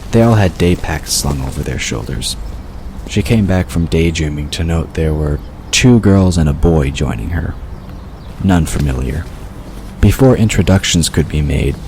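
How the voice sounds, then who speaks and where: Very deep-voiced male audiobook narrator. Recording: clear noise behind the voice. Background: ambient sound effects.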